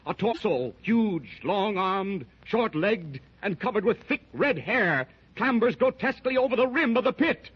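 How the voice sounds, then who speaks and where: A man's voice: sits high, very animated, measured pace, few pauses, a little hiss or hum. A man narrating a 1930s radio adventure serial in a vivid, suspenseful style. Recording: dull lo-fi 1930s radio transcription.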